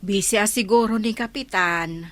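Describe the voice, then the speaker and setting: Woman playing ilocano village councilor lady, radio comedy, brisk and teasing, middle pitch. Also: dry recording, close to an everyday voice. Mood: bantering. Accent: Ilocano Filipino. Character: female village councilor, busy, brisk and bantering.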